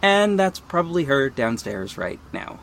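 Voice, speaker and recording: A man's voice: a little high, sing-song, a little slow, fairly bright tone, slight background noise, exaggerated voice. A man playing a wry, self-aware superhero who narrates his own story in a scripted audio drama. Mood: descriptive, lightly wry.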